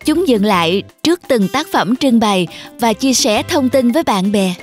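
High-voiced woman narrating a children's story. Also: expressive, normal speed, soft background music.

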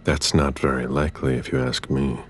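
A commanding vampire investigator in a game cutscene. His voice is exceptionally deep and husky. He questions curtly, with cold impatience and quiet intimidation. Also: flat delivery, fairly quick. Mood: cool, probing. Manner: curt, interrogating, intimidating. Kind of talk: video game cutscene acting.

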